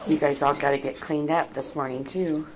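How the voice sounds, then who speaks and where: A woman's voice: sits low, steady tone, moderate pace. A woman filming her puppies in a small bathroom at home, talking to them in a cooing, baby-talk way on a handheld camera. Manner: coos and baby-talks to the puppies. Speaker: dog breeder. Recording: loud puppy noise behind.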